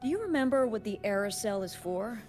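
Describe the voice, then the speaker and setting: A woman's voice: mid-range voice, lively intonation, a little fast, natural voice. An actress playing a confident, businesslike female colleague in scripted anime-style video game dialogue. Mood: businesslike, explanatory. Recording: faint game music behind voice. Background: faint game music.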